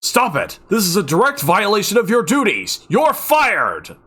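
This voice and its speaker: A man voicing an angry male doctor who shouts indignantly. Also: high-pitched, wildly swooping pitch, a little slow, slightly rough, pitched above the performer's own voice, exaggerated voice. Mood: furious.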